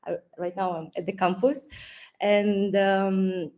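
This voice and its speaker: A woman's voice: mid-range voice, fairly even intonation, takes it slowly, narrow, tinny audio. A woman from Kazakhstan presenting her campus over a video call, hesitant and with many fillers. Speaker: campus representative.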